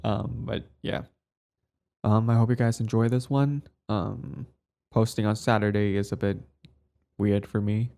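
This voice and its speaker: A man with a raspy voice, recovering from throat trouble, giving a casual, low-key update to his listeners as channel host. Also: fairly even intonation, a little slow, warm tone.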